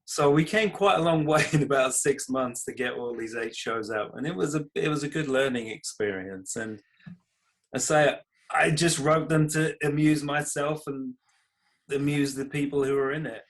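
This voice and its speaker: A British man with a mid-pitched voice talks in a casual interview. He comes across as modest and self-deprecating, explaining things in a conversational way as the guest. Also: moderate pace. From United Kingdom. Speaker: podcast guest, comedy writer and creator.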